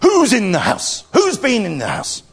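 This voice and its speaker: A man voicing a big, gruff, indignant father bear with a growly storybook roar. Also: fairly high pitch, expressive, moderate pace. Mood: roaring, angry. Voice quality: growly, roaring.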